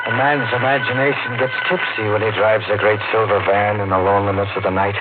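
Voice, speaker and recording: A male actor plays a haunted working-man trucker telling his strange tale in brooding, lyrical phrases. It is old radio drama, with a dulled vintage sound and loud music underneath. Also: on the low side, fairly even intonation, fast, narrow, tinny audio, natural voice. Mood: weary, puzzled. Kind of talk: 1950s radio drama narration.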